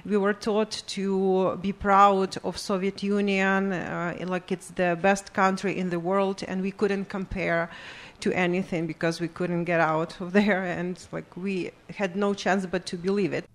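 A woman's voice: medium pitch, flat delivery, unhurried, few pauses. A middle-aged woman with a Ukrainian accent, a guest answering interview questions hesitantly, with many fillers.

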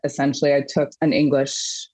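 A woman's voice: a bass voice, little pitch movement, normal speed, a little mellow. A woman talking casually as an interview guest, chatty, with many fillers and restarts. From Japan. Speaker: guest artist. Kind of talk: casual conversation.

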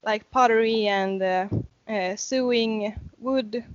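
Woman, slightly high. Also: takes it slowly, dry recording. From Åland Islands, Finland. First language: Swedish. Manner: hesitant, many fillers, laughs easily. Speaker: guest blacksmith. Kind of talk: casual interview chat. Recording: dry, slightly muffled remote audio.

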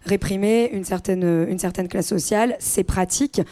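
A woman chimes in during a podcast discussion, adding examples and quick remarks. She speaks at a middle pitch with a warm, mellow tone. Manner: adds examples, quick interjections. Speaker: podcast co-host. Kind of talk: casual history discussion.

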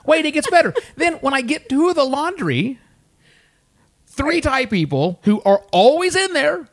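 A man's voice: extremely high pitch, a little slow. Guy telling a funny story, voice swoops up and down a lot, does little voices for people. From United States. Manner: animated storyteller who acts out other people's voices. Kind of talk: casual storytelling chat.

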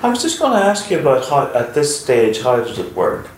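A man's voice: mid-range voice, big pitch swings, fairly quick. A man interviewing someone in a casual, conversational style. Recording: distant, echoey room, faint noise.

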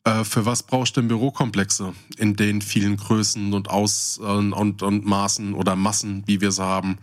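A man's voice: fairly low pitch, little pitch movement, moderate pace. A man with a German accent chatting casually as co-host of a podcast.

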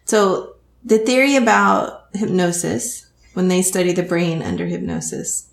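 A woman's voice: fairly low pitch, unhurried, gravelly. Texan woman explaining hypnosis, roomy sound. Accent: Texas. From Texas. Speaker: hypnotist and podcast host. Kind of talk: explanatory interview. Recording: roomy echo.

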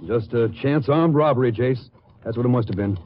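An American actor playing a folksy, skeptical Western police chief in a 1950s radio drama. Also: male voice, mid-range voice, fairly quick, lightly acted. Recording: muffled noisy 1950s radio recording.

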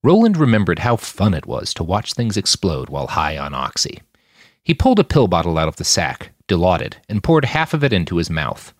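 Male fiction narrator, low register, lively intonation, dry studio-style sound. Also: fairly quick, no room sound. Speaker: author reading his own fiction podcast. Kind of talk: audiobook-style narration of gritty sci-fi fiction.

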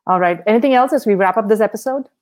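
An upbeat woman host leads a podcast interview at a quick clip. She moves briskly from question to question in a mid-range voice. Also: mid-range voice, darkish tone. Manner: upbeat, brisk wrap-up phrases. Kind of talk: interview hosting.

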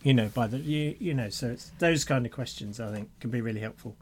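A man's voice: medium pitch, fairly quick, a little mellow, breathy edge. A man co-hosting a podcast, chatting casually and reading out listener emails. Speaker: podcast co-host.

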